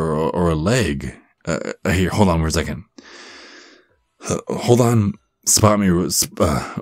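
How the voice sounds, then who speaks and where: Man playing a deep-voiced, supportive werewolf gym bro. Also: darkish tone, no room sound, studio quality. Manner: hesitant, stammering, encouraging. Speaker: voice actor in an ASMR roleplay. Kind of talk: ASMR roleplay, one-sided conversation.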